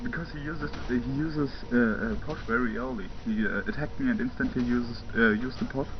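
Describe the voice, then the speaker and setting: A man's voice: medium pitch, normal speed, background noise. German man rambling over game footage. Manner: rambling, many fillers, chuckles to himself. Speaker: gameplay commentator. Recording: muffled home mic with game sounds.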